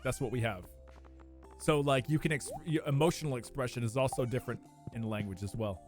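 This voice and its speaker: A young man, chatting casually with his live-stream viewers, animated and prone to squeaky bursts of laughter. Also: a little fast, over music.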